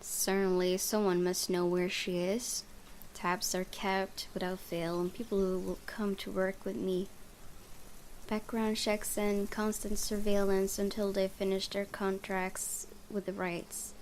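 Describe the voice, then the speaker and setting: A woman's voice: medium pitch, flat delivery, unhurried, darkish tone. A woman game streamer reading story text aloud and adding casual commentary. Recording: faint background noise.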